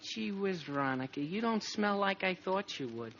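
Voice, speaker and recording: An actor playing a flustered, lovestruck, excitable teenage boy with a stammering, earnest manner in a vintage radio sitcom. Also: high-pitched, moderate pace. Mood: defensive. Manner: gushing, stammering, gee-whiz earnestness. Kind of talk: 1940s radio sitcom acting. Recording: dull, noisy old radio recording.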